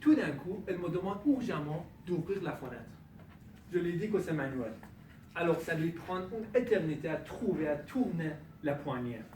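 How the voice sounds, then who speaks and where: A man giving a humorous speech at a speaking club, telling a comic story with pauses for the punchlines. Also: fairly high pitch, animated, a little mellow, slight background noise. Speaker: contest speaker. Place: club meeting room. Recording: echoey room, from far off. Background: audience laughter.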